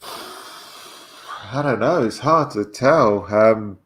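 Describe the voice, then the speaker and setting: A man's voice: lowish voice, drawn-out words, fairly bright tone. A British man, a guest in a casual political chat, speaking hesitantly and often repeating words as he searches for the next one.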